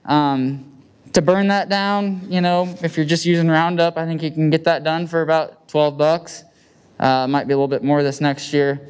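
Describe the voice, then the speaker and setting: A man with a Midwestern American accent speaks plainly and informally, like a farmer presenting to peers. His voice sits a little high for a man and is very clean and smooth. He talks into a handheld microphone in colloquial, practical language. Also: fairly even intonation, moderate pace. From United States. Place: indoor meeting room with seated audience.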